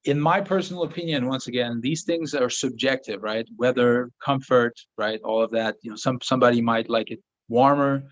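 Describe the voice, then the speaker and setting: A man whose first language is French chats loosely through a live-stream Q&A, his mid-pitched voice full of "you know" and "right?" as he restarts his sentences. The audio is a little dull and muffled, like a modest live-stream setup. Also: moderate pace, few pauses. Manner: relaxed, rambling, with frequent fillers and restarts. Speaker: host of a live Q&A. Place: home office in Southern Ecuador.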